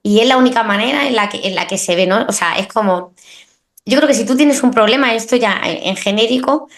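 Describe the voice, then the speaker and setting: A woman's voice: a little high, brisk, fairly bright tone. Woman host, reflective and chatty. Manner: reflective, lots of tag questions and restarts. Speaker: podcast host. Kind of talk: conversational podcast interview.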